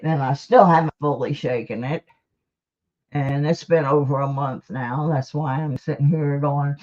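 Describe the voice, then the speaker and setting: A woman hosting a live stream, chatting casually and rambling to her viewers in a folksy, self-deprecating way, on a dull lo-fi recording. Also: sits low. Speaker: livestream host.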